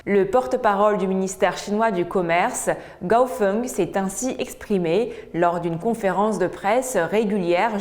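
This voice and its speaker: A woman anchoring a news bulletin, reading in a steady, formal way. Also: medium pitch. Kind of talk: scripted news narration.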